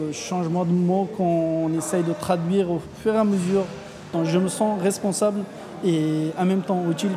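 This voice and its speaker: A woman in her thirties from Afghanistan, a coordination agent speaking sincerely and hesitantly in a testimonial interview. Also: lowish voice. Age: 30s. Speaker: coordination agent, team leader.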